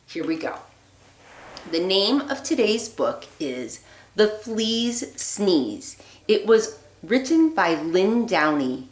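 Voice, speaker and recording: A woman teacher reading a children's picture book aloud, phrasing deliberately and expressively with emphasis on the rhymes. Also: mid-range voice, sing-song. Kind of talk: read-aloud storytelling for young children. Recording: echoey room.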